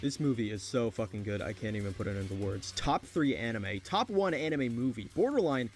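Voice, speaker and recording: A man reads scripted video essay narration in a medium-pitched voice. Faint music plays underneath his words. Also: lively intonation, brisk.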